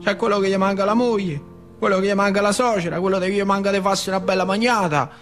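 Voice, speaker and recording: An old factory worker played by a male stage actor tells his memories in a theatrical monologue over music. His voice sits high for a man, with an earthy central Italian dialect from northern Lazio. He repeats himself and nudges the listener with little questions, like a nostalgic working-class storyteller. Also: fairly even intonation, fast talker, fairly bright tone. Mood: fond, earthy.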